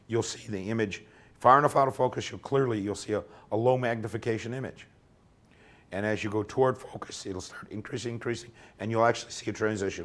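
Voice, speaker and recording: A man with a fairly low voice lectures a workshop in a loose, conversational way. His voice is wearing out and turning hoarse, and he keeps checking in with the room. It sounds like a lecture room. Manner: conversational, often ends points with "okay?". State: losing his voice. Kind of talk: informal technical lecture.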